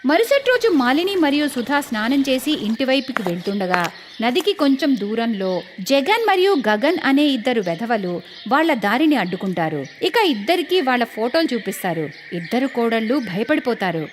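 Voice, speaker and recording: A woman narrating a cartoon moral story in a measured, clear storytelling manner. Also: on the high side, big pitch swings, slight background noise.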